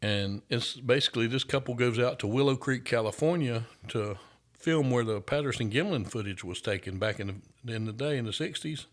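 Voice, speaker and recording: A man's voice: medium pitch, a little fast. A man from the United States hosting a casual chat show, easygoing and rambling, saying "y'all". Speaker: host.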